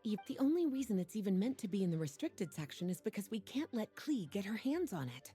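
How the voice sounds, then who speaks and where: A woman voicing a poised, playful and knowing sorceress-librarian in a video game, with soft, breathy, unhurried, teasing delivery. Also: mid-range voice, sing-song, faint music behind. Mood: amused, confiding. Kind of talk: video game character dialogue.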